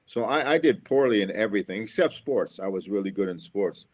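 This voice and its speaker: Man, middle-aged, from Florida, engineer guest, motivational, repeats phrases, lowish voice. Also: expressive, a little fast. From USA, Florida. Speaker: interview guest, engineer and school speaker. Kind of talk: casual interview answers.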